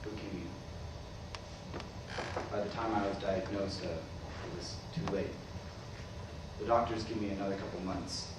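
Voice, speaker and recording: A man's voice: low voice, steady tone, measured pace, pauses often. A man playing a dying, middle-aged former professor, dry and argumentative with his brother, in a staged play reading. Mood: subdued, resigned. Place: staged reading filmed in a roomy venue. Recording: echoey room, far mic, noisy.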